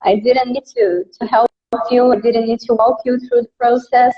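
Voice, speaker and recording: High-voiced Brazilian woman in an echoey room. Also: normal speed, dull audio. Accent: Brazilian Portuguese. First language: Portuguese. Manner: relaxed, easygoing, frequent fillers like "like" and "uh". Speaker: English teacher, guest. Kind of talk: casual interview chat.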